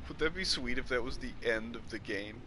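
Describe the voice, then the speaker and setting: A man's voice: mid-range voice, a little fast, background noise. A man hosting a casual game playthrough with joking, wry asides. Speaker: host of a gaming show. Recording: loud game music behind voice.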